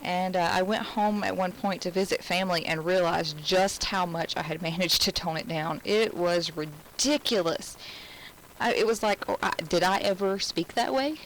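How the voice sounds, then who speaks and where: A woman's voice: fairly low pitch, normal speed, slight background noise, rough recording. A woman from Alabama with an Alabama Southern accent, hosting a live crafting class from home and chatting in rambling asides while she works. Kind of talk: live-streamed crafting tutorial.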